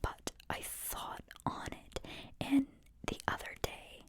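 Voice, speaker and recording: A woman speaking in a breathy, unvoiced whisper, reflecting informally and earnestly in an ASMR style as she questions her own ideas. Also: takes it slowly. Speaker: host sharing reflections on a psychology book.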